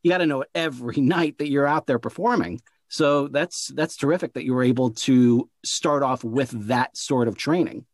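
A man's voice: mid-range voice, normal speed, few pauses, dry recording. Rambling male interview host, dry sound. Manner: rambling, repeats words while thinking. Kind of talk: casual interview conversation.

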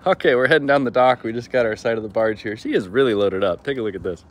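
A man's voice: mid-range voice, fast talker. A man chatting casually and informally to the camera as a vlogging builder, with folksy, unscripted phrasing. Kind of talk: casual vlog chat to camera. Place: outdoors at a dock and on the water. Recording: very noisy outdoor boat and engine noise.

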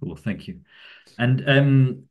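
A man's voice: medium pitch, expressive, takes it slowly, narrow, tinny audio. A man hosting a webinar on a video call, asking casual questions with hesitant fillers. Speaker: webinar host.

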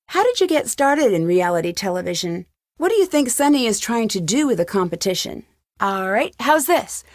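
An actress performs a young woman detective for a video game, her voice on the high side and recorded dry. She is inquisitive and level-headed, putting questions in an earnest, probing way. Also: dry recording, close to an everyday voice. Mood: curious, friendly. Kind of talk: video game voice acting, isolated dialogue lines.

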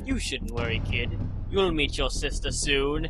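A man's voice: mid-range voice, lively intonation, unhurried, close to an everyday voice. A man voicing a cocky, menacing villain with a sneering, theatrical delivery in an anime parody dub. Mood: taunting. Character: cocky male thread-wielding villain, petty and menacing. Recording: loud music behind.

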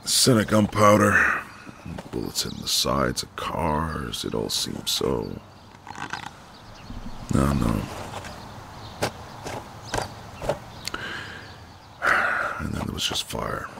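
A man playing a flirtatious, easygoing retired marine neighbour in an intimate audio roleplay, with a deep, gravelly voice and a casual drawl. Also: expressive, unhurried, background noise, exaggerated voice. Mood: somber, reflective.

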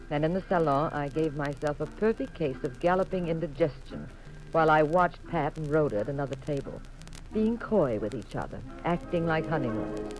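An actress playing a witty, jealous detective's wife, narrating in an arch 1950s radio drama style. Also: female voice, sits low, darkish tone, low-quality recording. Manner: wry, arch. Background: music behind the voice.

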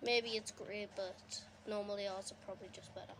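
A young woman giving casual, excitable commentary while playing a console game, her voice going a bit hoarse. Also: medium pitch, fairly quick, background noise. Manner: chatty, excitable, reacting to the game. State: losing her voice. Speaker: gaming streamer. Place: indoors at a console. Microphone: phone filming a TV screen.

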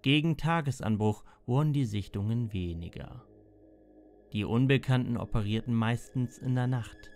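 A man's voice: mid-range voice, animated, normal speed, a little mellow. A man reading scripted documentary narration as a narrator. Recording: clearly noisy background.

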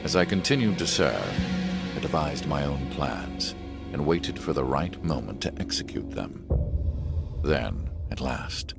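An actor playing a cold, scheming double agent who narrates his plans in a measured, detached, gravelly voice. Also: very deep voice, animated, loud music behind the voice, heavily acted. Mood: cold, calculating. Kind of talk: scripted narration, report-like.

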